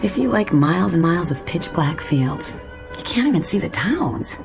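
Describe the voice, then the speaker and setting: An actress playing a friendly, wistful commuter in an audio drama, casual and a little tentative. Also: female voice, fairly low pitch, sing-song, moderate pace, creaky, music in the background. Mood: wry, friendly.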